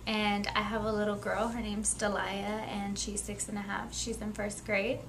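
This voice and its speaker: A 21-year-old woman talks through her experience in an earnest, plain-spoken way. Her voice sits at an ordinary pitch and barely rises or falls. A faint hum of noise runs under it. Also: little pitch movement, normal speed, vocal fry. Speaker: young mother telling her story. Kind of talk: candid personal testimony.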